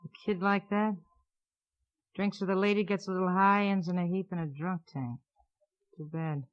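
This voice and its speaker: A woman's voice: fairly low pitch, fairly even intonation, normal speed, close to an everyday voice. Woman playing a jaded, defiant noir widow, muffled. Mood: sardonic. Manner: world-weary, sardonic, clipped. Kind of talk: 1950s radio crime acting. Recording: muffled old radio recording.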